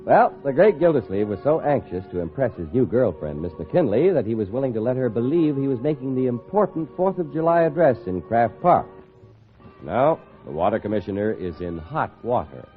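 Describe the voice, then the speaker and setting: A man's voice: mid-range voice, sing-song, brisk, soft background music. A man with an American accent, a polished, emphatic 1940s radio announcer reading advertising and narration. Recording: thin, phone-like old radio recording.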